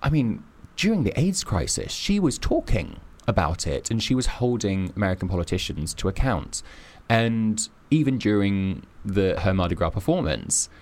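A man with a very low voice holds forth on a podcast, building his argument point by point. He sounds emphatic and sure of his opinions as he chats casually. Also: very animated, normal speed, few pauses, darkish tone. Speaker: podcast co-host.

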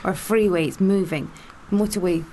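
A woman co-hosting a casual panel chat, animated and quick with laughs. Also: medium pitch, slight background noise, rough recording.

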